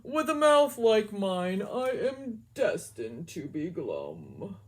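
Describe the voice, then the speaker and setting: A gloomy, sulky fish voiced by a woman reading a storybook drags out his dreary refrain at a slow, heavy pace. His pitch swoops up and down through every line. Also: big pitch swings. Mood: glum. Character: glum gloomy male fish, pouty.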